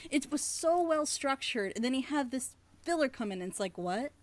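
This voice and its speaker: A woman's voice: sits high, expressive, normal speed, no echo. A woman giving casual critique in a group chat, speaking emphatically and bluntly and acting out imagined reactions. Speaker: reviewer giving feedback on an audio drama.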